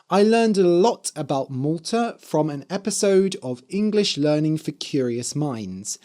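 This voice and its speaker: A podcast host and English teacher with a slightly hoarse, dry voice, explaining things clearly and carefully for language learners. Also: mid-range voice, darkish tone. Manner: careful enunciation, spelling words out letter by letter.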